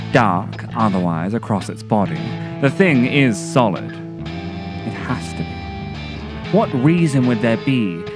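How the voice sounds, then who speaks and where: A man with a low voice narrates a scripted video essay. His delivery is deliberate and theatrical, with literary phrasing. Music plays clearly behind him. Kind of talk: scripted narration, dramatic horror-tinged monologue and video essay.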